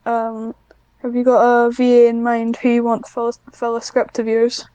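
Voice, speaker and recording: A Scottish woman interviews a guest in casual podcast chat. Her voice sits a little high and is dark and mellow in tone. The recording is dry and somewhat dull. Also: monotone, moderate pace, clear, resonant tone, no echo.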